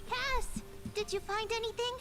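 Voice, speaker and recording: A woman voicing a small, cute, anxious fairy companion in a fantasy game, with a squeaky, childlike voice. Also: steady tone, normal speed, music in the background. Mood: eager. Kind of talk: fantasy video game voice acting.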